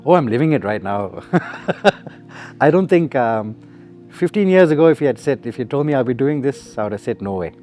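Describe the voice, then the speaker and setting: A man gives an easygoing, reflective interview. His voice is pitched squarely in the middle and he laughs often. A little music plays quietly behind him. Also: expressive, normal speed. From Singapore. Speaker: musician guest. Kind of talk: relaxed interview answers.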